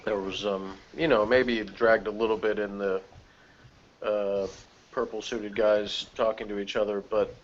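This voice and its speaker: A man with a lowish voice gives opinions in a casual, rambling review chat. The recording is dull, with faint noise behind him. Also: level tone, unhurried. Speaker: review panelist. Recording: dull, noisy online call audio.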